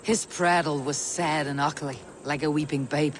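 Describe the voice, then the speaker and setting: Man as a high-voiced, blunt Viking war leader. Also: steady tone, normal speed, background noise, close to an everyday voice. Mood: dry, scornful. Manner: terse, commanding, threatening. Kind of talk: video game voice acting.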